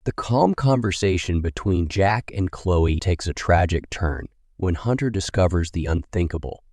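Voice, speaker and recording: A man's voice: low voice, expressive, normal speed, darkish tone, no room sound. A man narrating a scripted crime story in a dramatic storytelling style.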